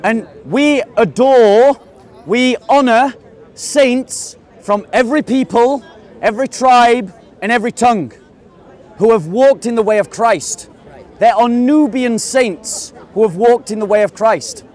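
A man from England debating outdoors in a park, pressing his point with rapid, insistent questions. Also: extremely high pitch, a little mellow, some chatter behind. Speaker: street debater. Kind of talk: open-air debate.

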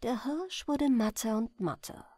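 A woman reading a bedtime fairy tale as storyteller, in a gentle, expressive manner. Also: fairly high pitch, a little slow, dry recording, studio quality, soft background music.

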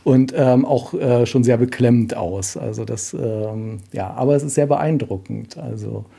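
A man's voice: medium pitch, unhurried, vocal fry, dark tone, slightly rough. A man giving casual commentary as a guest, often hesitant and searching for words. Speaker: author, podcast guest. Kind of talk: casual spoken commentary on an exhibition.

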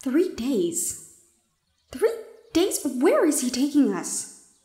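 A woman playing a shy, easily flustered young gorgon student in an audio roleplay, speaking in halting, exclamatory questions. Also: high voice, big pitch swings, warm tone, dry recording, studio quality, exaggerated voice. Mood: flustered, questioning. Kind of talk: audio roleplay drama acting.

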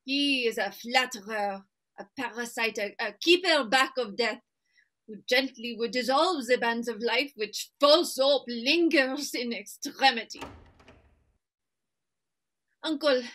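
A woman's voice: a little high, a bit bright. An actress reading a grieving queen in a Shakespeare staged reading over a video call. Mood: despairing. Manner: sorrowful, slow declaiming. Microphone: video call webcam.

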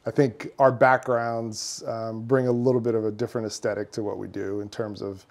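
A man's voice: lowish voice, level tone, moderate pace, darkish tone. A man in his thirties, a builder and firm founder, explaining his work earnestly in an office meeting room, with some fillers. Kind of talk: interview answers.

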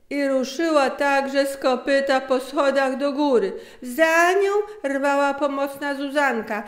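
A middle-aged woman tells a story expressively. Her voice sits fairly high and she takes her time, very slowly. The recording has a clear roomy echo. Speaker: author and narrator. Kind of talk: storytelling, scripted narration. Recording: roomy, echoing sound.

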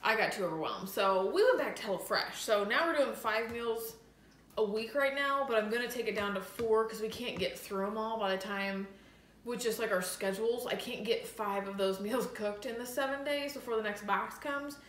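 A woman's voice: a little high, normal speed, fairly bright tone. Chatty woman vlogging in an echoey kitchen. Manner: chatty, enthusiastic, lots of "like" fillers. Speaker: lifestyle vlogger. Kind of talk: casual chatty vlog talk to camera. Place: home kitchen. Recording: echoey room with faint noise.

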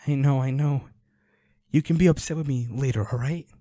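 A man's voice: medium pitch, moderate pace, mellow, dry recording, studio quality, natural voice. A man playing a protective, worried boyfriend in an intimate audio roleplay, speaking in a pleading, soothing, urgent way. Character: protective, worried boyfriend tending his injured werewolf partner.

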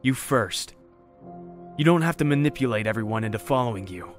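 A bitter but loyal apocalypse survivor played by a man, with a medium-pitched voice. He is blunt, curt and tired of it all, with faint music behind him in a dramatic fan production. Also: expressive, a little fast, close to an everyday voice. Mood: blunt, frustrated. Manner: curt, weary, sardonic. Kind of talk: dramatic audio visual-novel fan drama.

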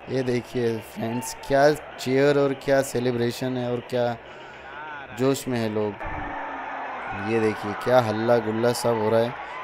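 Flat-voiced young man narrating a vlog. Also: medium pitch, unhurried, background noise. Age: young adult. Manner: chatty, addresses viewers as friends. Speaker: vlogger. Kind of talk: casual vlog voice-over narration.

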